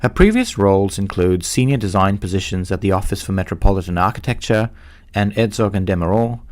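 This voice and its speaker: Low-voiced man hosting a podcast. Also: animated, fairly quick, darkish tone. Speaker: podcast host. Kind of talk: scripted introduction and interview.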